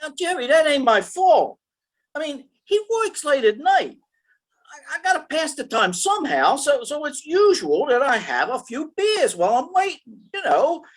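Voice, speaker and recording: A woman acts out a dim gang henchman from a comic radio crime play. The voice is highish and very animated, rambling through slangy, stammered excuses in a defensive way. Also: moderate pace. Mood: whining, defensive. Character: dim, defensive, beer-drinking gangster henchman. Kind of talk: old-time radio comic crime acting.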